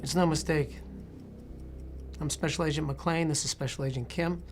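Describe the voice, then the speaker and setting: A man's voice: a little high, fairly even intonation, moderate pace, over music, lightly acted. An actor playing a probing male federal investigator, formal and businesslike, in a film. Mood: probing, official. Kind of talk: film interrogation acting.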